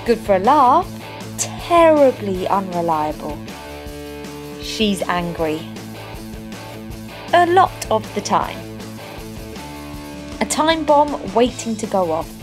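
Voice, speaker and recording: An actress as a sardonic young woman telling a storybook tale in a short comic film. She sounds knowing and wry, with a lively, unhurried delivery over a light music bed. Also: medium pitch. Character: sardonic young woman narrating a recycling fairytale. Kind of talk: scripted storybook-style narration.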